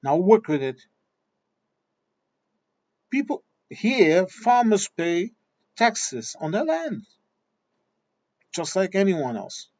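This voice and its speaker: A man's voice: high voice, sing-song, takes it slowly, long pauses, darkish tone, highs cut off. A man with a Portuguese accent, Portuguese his first language, delivering a blunt, combative monologue straight at his viewers. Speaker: video commentator. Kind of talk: ranting monologue.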